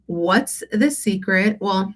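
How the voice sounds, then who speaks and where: A woman hosting a casual live coaching Q&A, congested from allergies, talking conversationally with hesitant pauses and check-ins with her listeners. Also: medium pitch, animated. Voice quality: stuffy, congested nose. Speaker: host and life and business coach.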